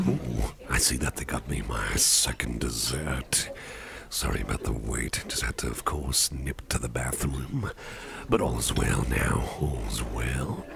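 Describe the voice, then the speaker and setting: Man acting a very deep, gravelly gentleman boss. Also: lively intonation, crowd noise, heavily acted. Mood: pleased, indulgent. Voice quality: very deep, gravelly, breathy at times. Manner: genteel, unhurried, soft-spoken, reassuring. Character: suave, doting gentleman businessman boss, courteous and protective. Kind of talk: ASMR roleplay. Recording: clear background ambience noise.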